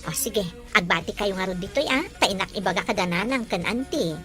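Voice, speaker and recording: A woman's voice: mid-range voice, animated, brisk, a bit bright, faint music behind, natural voice. An actress playing a busy mother in an Ilocano audio drama. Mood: everyday, caring. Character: busy mother seeing her children off to school. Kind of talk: Ilocano radio drama acting.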